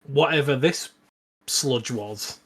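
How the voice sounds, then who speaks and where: A man's voice: medium pitch, slow pace, fairly bright tone, slightly rough. A man co-hosting a podcast, talking casually about films. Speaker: podcast co-host. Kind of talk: casual film discussion.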